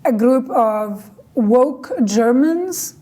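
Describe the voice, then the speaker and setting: A woman from Lebanon who speaks English as a second language, her first being Arabic, talking forcefully and indignantly in an interview, stressing her points through lists. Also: fairly high pitch, lively intonation, extremely slow. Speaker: guest. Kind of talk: interview conversation.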